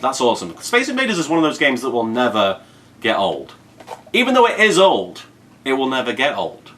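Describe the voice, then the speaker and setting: A British man in his thirties speaks in a medium-pitched voice as a casual video host. He rambles playfully and repeats himself for mock-serious emphasis. Clear background noise sits behind his voice. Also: wildly swooping pitch, brisk, a bit bright. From Britain. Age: 30s. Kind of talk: casual vlog unboxing commentary. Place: indoors at home in an apartment.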